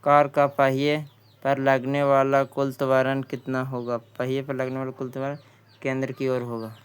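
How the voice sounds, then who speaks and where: A man from India working as an exam-prep tutor, reading quiz questions and answers aloud, haltingly and often repeating phrases. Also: mid-range voice, monotone, clean tone.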